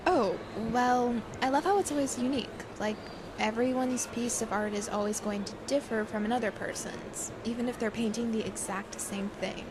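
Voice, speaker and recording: A woman acting as a bubbly young woman for an audio drama. Her voice sits on the high side and she gushes with adoring enthusiasm. The recording carries faint background noise. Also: normal speed, hardly any pauses, close to an everyday voice. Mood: cheerful. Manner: gushing, enthusiastic.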